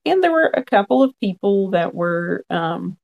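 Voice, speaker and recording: Gravelly-voiced man in his forties. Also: high voice, very animated, moderate pace, dull audio. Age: 40s. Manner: frank, earnest, quotes own past thoughts. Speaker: podcast guest. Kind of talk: conversational storytelling.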